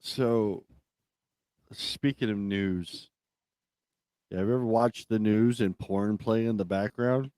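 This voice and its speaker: A man's voice: on the low side, steady tone, slow pace, pauses often, warm tone, smooth, no echo. A man co-hosting a talk show, chatting casually and giving opinions in a blunt, profane way. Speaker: talk radio co-host.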